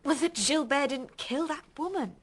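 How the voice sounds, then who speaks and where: Blunt, high-voiced young student. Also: female voice, animated, normal speed. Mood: challenging. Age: young adult. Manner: direct, challenging. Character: clever young female medical student, blunt and defensive. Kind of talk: radio drama acting.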